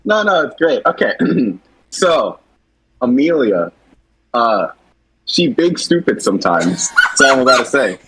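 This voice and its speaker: A man chatting casually as a co-creator about original characters, slangy and hesitant, quick to laugh. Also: mid-range voice, big pitch swings, pushed and bright, a little hiss or hum, rough recording. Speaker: co-creator of an animated series project.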